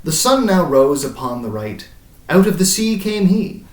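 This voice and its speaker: A man's voice: a little high, sing-song, measured pace. A man voicing a haunted, grey-bearded old sailor who recounts his voyage in dramatic, incantatory verse that swells and falls away. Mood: remorseful. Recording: roomy echo, distant mic.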